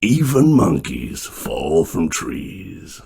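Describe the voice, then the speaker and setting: A man voicing a menacing, mocking animatronic villain in a video game, delivering grim proverbs in a deliberate, sardonic way. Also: low voice, wildly swooping pitch, gravelly, music in the background, full character voice. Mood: sardonic menace.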